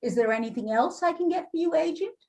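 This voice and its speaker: A woman's voice: very high voice, animated, fast, mellow. A woman playing a defensive, businesslike school administrator in an amateur readers theater reading. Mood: frank, polite.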